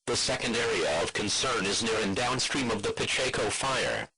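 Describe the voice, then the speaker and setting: A synthetic male voice reading a scripted emergency broadcast announcement in a formal, flat manner. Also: on the low side, moderate pace, poor audio. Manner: formal, flat synthetic text-to-speech delivery.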